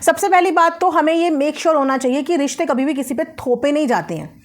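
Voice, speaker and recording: A woman's voice: high-pitched, lively intonation, fast. A woman gives opinionated video commentary, speaking emphatically, repeating phrases and mixing in English words. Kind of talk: opinionated commentary on social media family drama.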